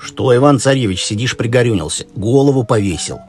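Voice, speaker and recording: A man's voice: mid-range voice, a little fast, close to an everyday voice. A man voicing a loyal grey wolf from a fairy tale, folksy and instructing. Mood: sympathetic. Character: gruff, helpful grey wolf, commanding and sympathetic. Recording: music behind the voice.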